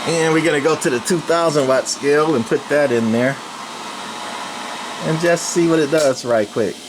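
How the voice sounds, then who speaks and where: A man's voice: a little high, moderate pace. A man giving a casual, plain-spoken hands-on equipment demonstration, narrating as he works. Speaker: radio hobbyist presenter. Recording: noisy room with steady equipment hum.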